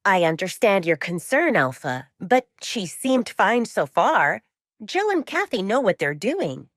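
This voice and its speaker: Woman narrator as a courteous young male doctor, animated. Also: mid-range voice, unhurried, dry recording, clean studio sound. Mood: polite, firm. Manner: courteous, deferential. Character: friendly, polite young male pack doctor, deferential but professional. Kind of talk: audiobook romance drama acting.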